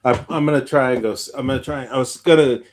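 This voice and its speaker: A man co-hosting a podcast in casual chat, with a halting, meandering, slangy way of talking. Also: medium pitch, a little hiss or hum. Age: adult, parent of young child. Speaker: podcast co-host.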